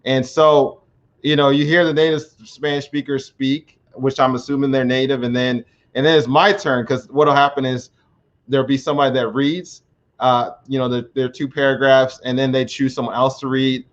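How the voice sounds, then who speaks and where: A man's voice: mid-range voice, level tone, moderate pace. Earnest rambling American man on lo-fi stream. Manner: rambling, frequent restarts, earnest. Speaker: language learner vlogger. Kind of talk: casual live stream chat. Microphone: computer mic on live stream.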